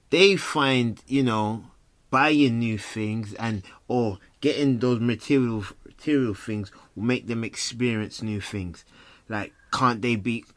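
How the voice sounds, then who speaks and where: A man's voice: mid-range voice, a bit bright. A man hosting a conversational podcast interview, probing and encouraging, often rephrasing his questions as he goes. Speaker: podcast host. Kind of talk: conversational coaching-style interview.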